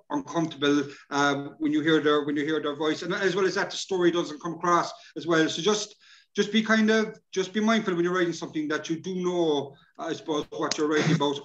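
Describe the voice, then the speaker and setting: Higher-pitched Irish man, dull call audio. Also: fairly bright tone. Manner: chatty, repeats "you know". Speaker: workshop presenter. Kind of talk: informal instructional talk. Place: indoors, plain room on video call.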